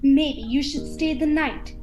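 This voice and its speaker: Girl doing posh formal countess for radio play, high voice, slow, insistent, music behind. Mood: coaxing, insistent. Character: gracious, insistent countess in spooky mansion. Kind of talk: amateur student radio play acting.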